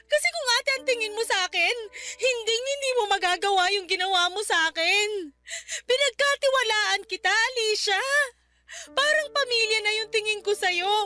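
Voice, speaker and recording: A woman's voice: very high-pitched, bright, forceful tone, heavily acted. A woman playing a betrayed, proud young housemaid in a Filipino radio drama, tearful and reproachful, with emphatic delivery and a Filipino accent. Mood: tearful, angry.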